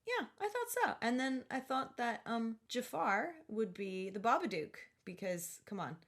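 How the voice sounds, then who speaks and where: A woman's voice: on the high side, lively intonation, unhurried. A woman co-hosting a podcast, chatting casually and playfully, talkative and loose with slang. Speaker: podcast co-host. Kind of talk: casual chat about horror films.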